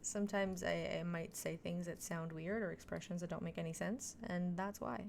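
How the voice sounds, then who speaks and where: Chatty woman streamer, lowish voice. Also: steady tone, moderate pace, creaky, a little mellow, slight background noise. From Montreal, Quebec, Canada. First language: French. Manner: chatty, thinking aloud, self-deprecating asides. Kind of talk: casual chat while gaming.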